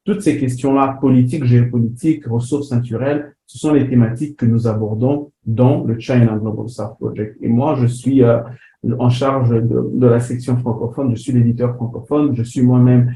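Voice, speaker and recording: A man's voice: mid-range voice, fairly even intonation, normal speed, dark tone. A man from DR Congo presenting a webinar lecture, with frequent fillers and restarts. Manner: hesitant, many fillers and restarts. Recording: roomy sound with faint background noise.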